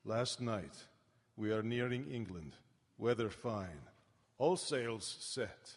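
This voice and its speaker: An actor playing a weary, grave sea captain in a live radio play, speaking in solemn, clipped phrases with a husky voice. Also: male voice, on the low side, lively intonation, slow, full character voice. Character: weary, grave sea captain reading his ship's log. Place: town hall stage. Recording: echoey live hall.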